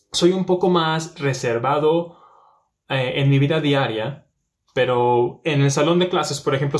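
A young man with a somewhat high-pitched voice talks straight to the camera in a small indoor room. He speaks casually and reflectively, often filling gaps and restarting sentences as he searches for words. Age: young adult. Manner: thinking aloud with many fillers and restarts. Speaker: language teacher and vlogger. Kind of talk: casual vlog talk to camera.

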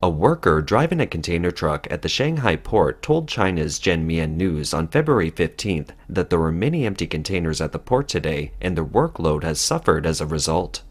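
Male news voice-over, very low and expressive, steady scripted read. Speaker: narrator.

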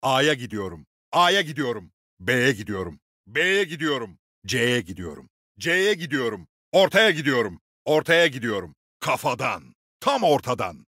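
Game role: gruff male squad leader, seasoned and commanding, very animated delivery, close dry recording. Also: medium pitch, a little slow, no echo, heavily acted. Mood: alert, commanding. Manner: authoritative, clipped commands with dry swagger. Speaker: game character voice actor. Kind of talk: video game voice lines, short military barks.

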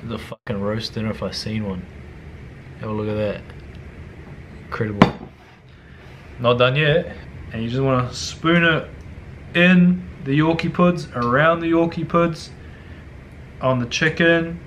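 A young man with a New Zealand accent, talking casually through a cooking tutorial in his home kitchen, slangy and swearing offhand, often dropping to low mutters. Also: a little slow, pauses often, fairly bright tone, slight background noise. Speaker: cooking vlogger.

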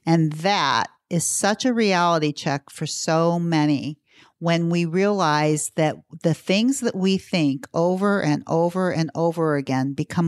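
A woman's voice: low voice, dry recording, clean studio sound. Earnest woman coach in her fifties. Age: 50s. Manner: earnest and reflective, laughs easily. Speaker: guest, weight-loss coach. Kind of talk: conversational interview.

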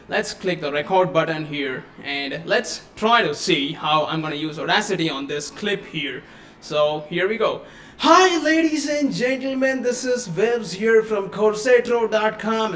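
Excitable man hosting a live tutorial. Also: high voice, a little hiss or hum. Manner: excitable, exclamatory, chatty. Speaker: tech tutorial host. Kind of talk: casual live-stream tutorial. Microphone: USB studio microphone.

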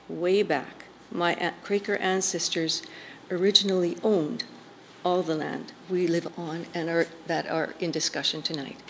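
A woman's voice: fairly low pitch, rough recording. An older woman from Canada reads a prepared, earnest statement as a resident at a council hearing. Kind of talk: prepared statement read aloud. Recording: faint noise, council chamber mic.

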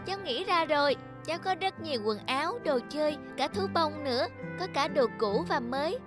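A woman's voice: high-pitched, animated, fairly quick, over music. A woman voicing a spoiled rich little girl in a cartoon, who talks in an eager, chatty, childlike way. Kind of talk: cartoon dubbing.

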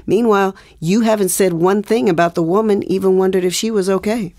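A woman acting as an anxious pregnant wife in an audio play, pleading and defensive with her husband. Her mid-pitched voice is urgent and emotional, recorded close and dry. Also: steady tone, a little fast, dark tone, no echo, close to an everyday voice. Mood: earnest, pleading. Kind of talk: naturalistic audio drama acting.

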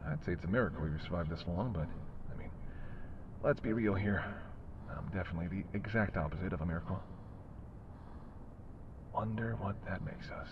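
Low-voiced teasing vampire played by a man. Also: brisk, long pauses, dull audio, background noise, close to an everyday voice. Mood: wry, musing. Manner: wry, teasing, murmured banter. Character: teasing, sardonic male vampire with a predatory streak. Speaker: voice actor. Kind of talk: intimate audio roleplay monologue.

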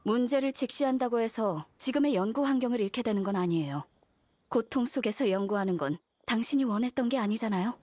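Composed, husky, ominous zealot. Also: female voice, a little high, expressive, brisk, darkish tone. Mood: persuasive. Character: composed, ominous woman zealot, polite and persuasive. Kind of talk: dramatic game voice acting.

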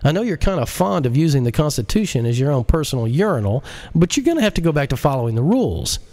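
A man's voice: on the high side, very animated, quick pace, darkish tone, pitched above the performer's own voice. A man voicing a cocky, sardonic computer genius with a mocking, folksy, scolding manner in an audiobook. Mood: scolding, defiant.

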